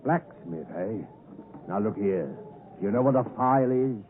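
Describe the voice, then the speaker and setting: An actor playing a fierce, desperate escaped convict in chains, threatening and commanding, in 1950s radio drama style. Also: male voice, lowish voice, lively intonation, unhurried. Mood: gruff questioning. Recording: tinny, noisy 1950s radio broadcast.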